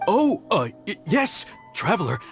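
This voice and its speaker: A man's voice: high voice, big pitch swings, a little slow, creaky, exaggerated voice. A man playing a nervous, deferential junior police officer in a video game, hesitant and stammering. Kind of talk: scripted video game dialogue. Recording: music behind the voice.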